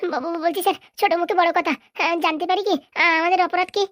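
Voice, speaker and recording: A woman's voice: extremely high pitch, steady tone, quick pace, a bit bright, dry recording, clean studio sound. A woman voicing a timid, flustered simple villager in a comedy cartoon, stammering and pleading. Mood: nervous pleading. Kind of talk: Bengali comedy cartoon dialogue.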